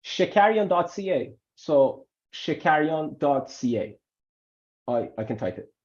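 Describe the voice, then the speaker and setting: A man whose first language is Farsi, working as an immigration lawyer, gives animated webinar answers and often repeats words for emphasis. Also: fairly high pitch, measured pace. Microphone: video call. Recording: muffled video call audio.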